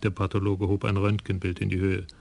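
A man narrating a radio crime play in a wry, measured storytelling manner. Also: deep voice, monotone, gravelly, a little mellow, dry recording. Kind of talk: scripted radio drama narration.

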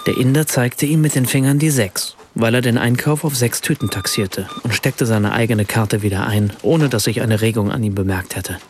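An actor narrating a radio drama as a self-conscious, ironic German writer, with faint noise behind. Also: male voice, medium pitch, moderate pace, hardly any pauses, lightly acted. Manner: ironic, reflective.

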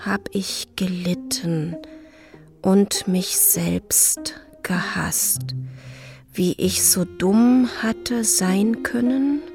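A wounded, defiant woman in a radio play. The voice is creaky and deliberate, intense and often hushed, with faint music beneath it. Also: medium pitch. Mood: bitter, anguished. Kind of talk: radio drama acting.

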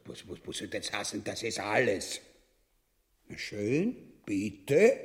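A man's voice: fairly high pitch, fairly bright tone, exaggerated voice. An actor playing a greedy, boorish usurper king and husband in a grotesque radio drama, blustering and commanding in an Austrian accent. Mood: impatient, bullying.

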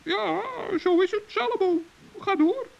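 A performer playing a kindly, earnest little male gnome with a squeaky, creaky voice in a children's radio play. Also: unhurried, exaggerated voice. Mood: earnest, concerned. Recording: dull old radio recording.

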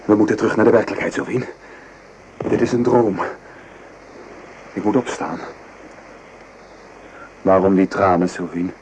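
Deep-voiced, passionate soldier lover. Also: male voice, moderate pace, long pauses. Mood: overwhelmed. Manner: intense, sighing, dramatic delivery. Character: passionate, wounded soldier lover, jealous and brooding. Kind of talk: melodramatic Dutch radio play acting. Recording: noisy old radio drama recording.

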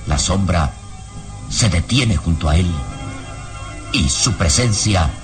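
A man narrating a radio serial in a suspenseful, theatrical and measured scripted style. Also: fairly low pitch. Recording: radio drama under loud music.

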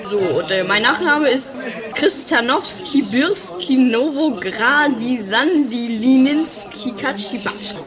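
A man playing a grumpy, banished guru in a comedy radio play, with a halting, dry, grumbling delivery. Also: very high-pitched, lively intonation, crowd noise, exaggerated voice. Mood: halting, self-important.

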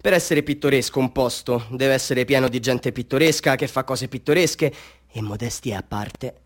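Small-time photographer hustler, mid-pitched voice, boastful, confiding, rhetorical questions, theatrical radio monologue. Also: male voice, slightly rough. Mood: boastful, grandiose. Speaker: actor in a radio drama monologue.

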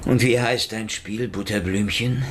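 An actor playing a hard-boiled, sardonic private detective in a radio drama, with a gruff, sarcastic way of talking. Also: male voice, on the low side, steady tone, measured pace, slight background noise, natural voice. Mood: dry, sardonic. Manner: gruff, sarcastic, coarse tough talk.

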